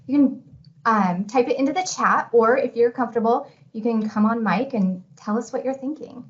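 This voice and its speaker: Higher-pitched woman presenting, dull webinar audio. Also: normal speed. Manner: guiding, asks open-ended questions.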